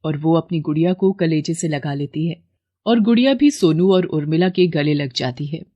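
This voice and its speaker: A woman narrating an animated moral story in a soft, steady storytelling manner. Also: sits low, normal speed, dry recording, dull audio.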